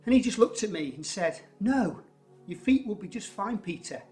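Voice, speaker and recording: Someone playing peter, blunt and stubborn, bouncy pitch, faint music under it. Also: sing-song, moderate pace, darkish tone, lightly acted. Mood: emphatic. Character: apostle Peter, adult man, impulsive and stubborn. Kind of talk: first-person dramatic monologue.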